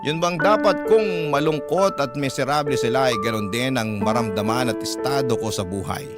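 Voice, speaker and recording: A man's voice: mid-range voice, normal speed. A man with a Filipino accent narrating a dramatic radio story as he reads out a letter. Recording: loud piano music under voice. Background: loud piano background music.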